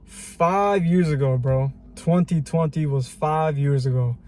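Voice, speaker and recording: A man's voice: on the high side, measured pace, mellow. A man in his twenties talking casually and reflectively to camera in a rambling personal vlog. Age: 20s. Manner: reflective, rambling, slangy with repeated phrases. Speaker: vlogger.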